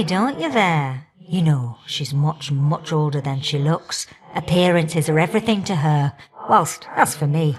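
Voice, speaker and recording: A woman voicing an older goddess of the hearth in a video game, blunt, hot-tempered and affectionate, with a folksy, teasing, auntie-like way of talking. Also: lowish voice. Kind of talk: video game character dialogue.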